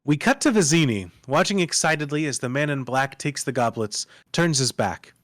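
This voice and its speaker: A man's voice: a little high, expressive, moderate pace, a bit bright, dry recording, clean studio sound. A man working as narrator, reading out scripted stage directions for a screenplay table read.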